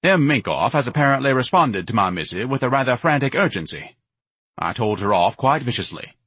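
A man's voice: mid-range voice, sing-song, fairly quick, a bit bright, breathy edge, no echo, voice pushed up, exaggerated voice. A man voicing a pompous, bellowing, bombastic man-child. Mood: scornful. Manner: grandiloquent, haughty, theatrical declaiming. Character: pompous, bellowing, bombastic grown son, lazy and indignant.

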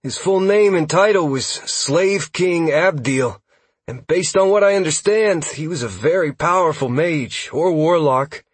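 A man performs a jittery male elf scout for an audiobook. The voice sits clearly higher, with a hesitant, apologetic delivery that is eager to explain lore. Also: measured pace, no room sound. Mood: confident, informative. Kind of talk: audiobook character dialogue.

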